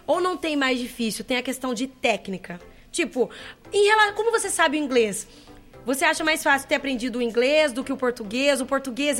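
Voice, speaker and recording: A woman hosting a casual talk show, chatty and quick to laugh, asking her guest many questions. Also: high voice, fairly bright tone. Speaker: host. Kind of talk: casual chat.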